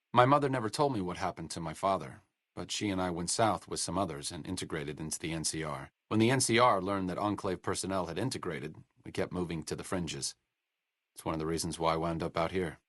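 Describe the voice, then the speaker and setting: A dry-witted scientist companion. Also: male voice, deep voice, fairly bright tone, no room sound. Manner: dry sardonic wit, educated phrasing. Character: wry, learned male scientist companion, dry wit. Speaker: game companion voice lines. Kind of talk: studio game voice acting. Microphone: close studio mic.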